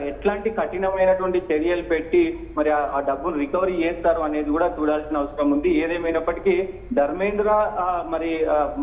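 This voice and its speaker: A man reporting and analysing news unscripted, in a halting, repetitive way. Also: a little high. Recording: echoey hall, muffled phone-like line.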